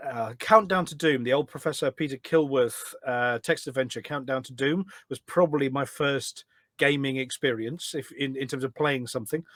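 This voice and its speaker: A man's voice: medium pitch, normal speed, fairly bright tone, dry recording, clean studio sound. A middle-aged British man chatting casually as a podcast guest, rambling and correcting himself as he reminisces. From United Kingdom.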